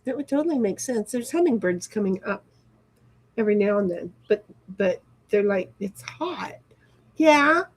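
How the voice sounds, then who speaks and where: A woman's voice: mid-range voice, lively intonation, normal speed, low-quality recording. A middle-aged woman hosting a casual livestream, rambling and swearing offhandedly as she chats. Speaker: livestream host. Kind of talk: casual livestream chat, reading chat aloud.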